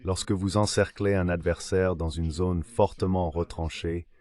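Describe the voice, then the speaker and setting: A man with a low voice delivers measured, explanatory analysis as a dubbed interview guest. The voice is synthetic and keeps to the style of expert commentary. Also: steady tone, mellow. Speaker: translated voice of an interview guest, an academic.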